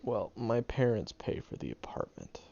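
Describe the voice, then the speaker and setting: A man's voice: mid-range voice, big pitch swings, normal speed, creaky, mellow. A man voicing a prickly young male student who secretly cares, talking hesitantly with stammers and ums in an audio roleplay. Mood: bitter. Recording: dull lo-fi recording.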